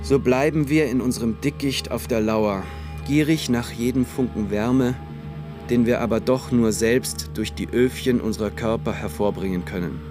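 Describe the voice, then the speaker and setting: A man reading scripted first-person literary narration for a radio play in a measured, sober manner. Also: mid-range voice. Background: music.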